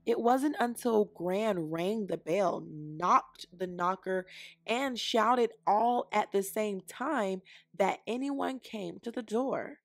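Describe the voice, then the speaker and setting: A woman narrating a children's storybook aloud with deliberate, expressive phrasing. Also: mid-range voice, dry recording. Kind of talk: read-aloud scripted narration.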